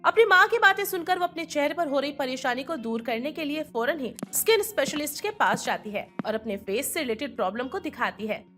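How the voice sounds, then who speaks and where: Woman, high pitch, very varied melody, scripted storytelling narration, animated moral tale. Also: a little fast, hardly any pauses, soft background music.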